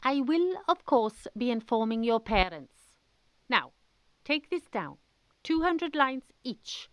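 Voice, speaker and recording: Female performer as stern older headmistress, Welsh accent, pompous, deliberate, high swooping voice, radio drama. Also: very animated, no room sound. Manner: imperious, theatrical, deliberate. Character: stern, pompous older chapel-going headmistress, mock outraged.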